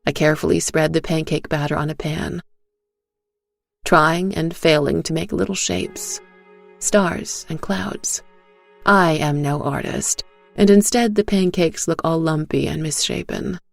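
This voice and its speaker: Woman, scripted story narrator, unhurried, gravelly fry, soft dramatic delivery, faint music behind. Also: deep voice, steady tone, no echo. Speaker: narrator of a fiction podcast.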